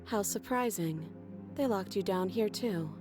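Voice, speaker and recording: An actress playing a cold, guarded, defiant captive princess in a dramatic game, controlled and cutting. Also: female voice, medium pitch, a little slow, natural voice. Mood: cool, guarded. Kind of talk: dramatic video game voice acting. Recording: music behind the voice.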